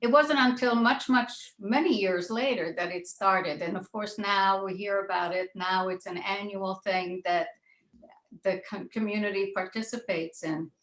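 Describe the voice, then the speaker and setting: An older woman from Israel recalling her own past as a panelist, deliberate and repeating phrases for emphasis. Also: mid-range voice, fairly bright tone. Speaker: panelist, child of Holocaust survivors. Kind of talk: personal recollection in a panel discussion. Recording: echoey room sound.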